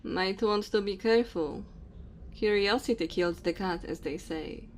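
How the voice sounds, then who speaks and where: A woman voicing a dominant, teasing female dragon in an ASMR roleplay, speaking slowly and theatrically to the listener. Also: medium pitch, natural voice. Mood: curious, amused. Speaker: ASMR voice performer. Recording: faint cave ambience noise. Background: cave and fire sound effects.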